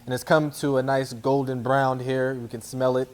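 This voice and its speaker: A man's voice: mid-range voice, little pitch movement, normal speed, darkish tone. A man giving an informal, playful cooking demonstration, instructing as he goes. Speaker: athlete cooking a dish as guest chef. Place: indoor kitchen.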